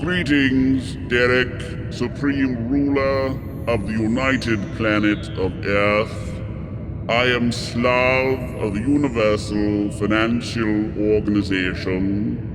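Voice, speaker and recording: An actor playing a solemn, officious alien official in a sci-fi audio drama, speaking formally and pompously. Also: male voice, mid-range voice, fairly even intonation, slow pace, background noise, natural voice. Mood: coldly formal. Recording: long reverberant hall effect, music behind.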